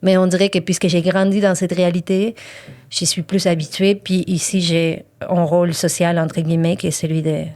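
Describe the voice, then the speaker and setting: Low, mellow woman speaking reflectively. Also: little pitch movement, moderate pace. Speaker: writer on a podcast. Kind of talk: reflective casual conversation.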